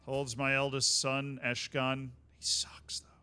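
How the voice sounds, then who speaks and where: A man's voice: medium pitch, completely flat delivery, slow, pushed and bright, clear, resonant tone, faint music behind. A man streaming a strategy game, chatting casually with wry asides to himself.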